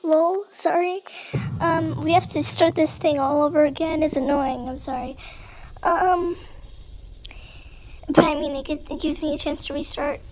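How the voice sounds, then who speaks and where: A woman's voice: sits high, fairly even intonation, unhurried, long pauses, a little mellow, a little hiss or hum, low-quality recording. A young woman giving casual, nervous commentary as she plays a horror game, with an informal, jumpy manner. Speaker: gamer, video creator.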